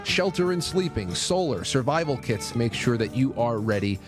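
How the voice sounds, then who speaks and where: A man's voice: medium pitch, big pitch swings, normal speed, music in the background. A man hosting a casual solo show, chatting informally in a loose, rambling way. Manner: informal, rambling, lightly profane. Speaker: talk show host. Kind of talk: casual solo livestream chat with ad read.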